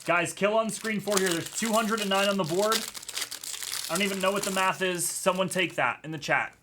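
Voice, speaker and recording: A man's voice: sits high, brisk. An American man hosting a live card break, joking and talking straight to his chat. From United States. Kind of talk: casual live-stream chat. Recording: noisy with card-pack crinkling.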